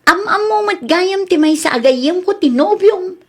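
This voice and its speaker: An actress playing a blunt, skeptical, teasing friend in a comedy radio drama. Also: female voice, very high-pitched, animated, moderate pace.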